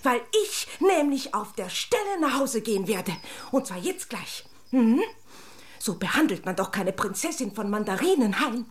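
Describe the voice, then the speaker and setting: High-voiced, theatrical little toy princess. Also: female voice, big pitch swings, moderate pace. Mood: haughty, offended. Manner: pompous, dramatic, exclaiming and lamenting. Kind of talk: children's radio drama acting.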